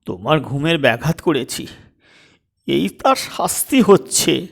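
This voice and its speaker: A man's voice: fairly high pitch, sing-song, unhurried, gravelly, heavily acted. A man voicing an anxious, impatient young husband, urgent and sulky, in a comic audio story. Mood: sulky, reproachful. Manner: urgent, pleading, reproachful.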